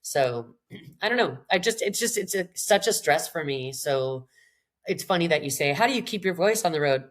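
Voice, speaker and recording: A middle-aged Canadian singer-songwriter woman with a slightly raspy voice, talking candidly in a podcast interview, rambling and self-deprecating. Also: low-pitched, animated, normal speed. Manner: rambling, self-deprecating, frequent laughs and restarts.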